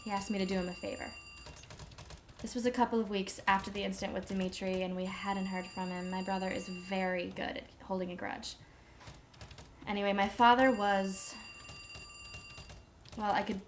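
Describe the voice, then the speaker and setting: A woman's voice: mid-range voice, little pitch movement, normal speed, long pauses, natural voice. A young woman playing a shy, hesitant daughter giving interview-style testimony, often correcting herself, in a dramatised documentary. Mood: nervous, guarded. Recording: faint background noise.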